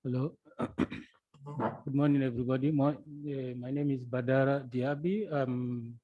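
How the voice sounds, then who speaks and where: A Guinean man introduces himself at a meeting with halting, even-toned phrasing. The sound is thin and phone-like, with no room echo. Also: mid-range voice. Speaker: academic researcher.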